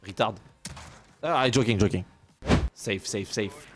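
A young French man with a French accent, chatting excitedly while streaming a game, mixing French and broken English. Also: on the low side, a little slow, over music. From France. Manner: animated, shouty, mixes French and broken English. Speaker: gaming streamer. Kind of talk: excited gameplay chat with a stranger. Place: home gaming room. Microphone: headset mic.

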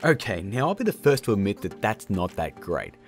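Man, thirties, tutorial host, lowish pitch, animated intonation, casual and jokey, light background music. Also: a little fast. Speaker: host of a video tutorial show. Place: small indoor studio room. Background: faint music.

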